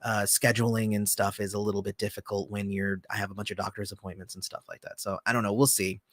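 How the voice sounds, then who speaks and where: A man hosting a casual livestream, answering viewer comments in a relaxed, rambling way with lots of fillers, often trailing off softly. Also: fairly low pitch, fast, no room sound, clean studio sound. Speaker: channel host.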